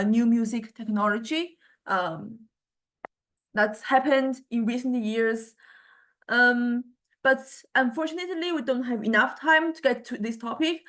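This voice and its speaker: A woman lecturing informally to a university class, speaking haltingly and often stumbling on the starts of words. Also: fairly high pitch, a bit bright. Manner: halting, hesitant, with frequent stammered word starts.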